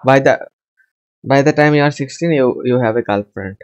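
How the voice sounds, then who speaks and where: A man's voice: medium pitch, moderate pace, darkish tone. A young man in his teens from India with an Indian accent, chatting casually and hesitantly, repeating his phrases. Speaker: host of English conversation chats. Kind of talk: casual chat. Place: small room with shelves. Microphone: headset on video call.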